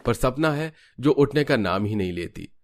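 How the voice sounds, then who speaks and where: A man's voice: medium pitch, animated, moderate pace, a little mellow, no room sound. A man reading scripted narration for a cartoon family story.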